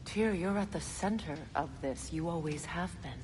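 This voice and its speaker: An actress playing a solemn, resolute warrior goddess in a video game, speaking in an earnest, measured, persuasive way. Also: female voice, fairly low pitch, darkish tone, big-room sound, a little hiss or hum, natural voice. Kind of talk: dramatic video game acting.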